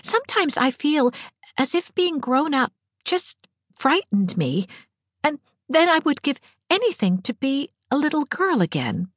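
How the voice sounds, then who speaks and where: An earnest, anxious teenage girl from a storybook acted by a woman reader. She speaks high and plaintively, with animated inflection and a fond, sentimental temper. The recording is dry. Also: a little slow, no echo. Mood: uneasy.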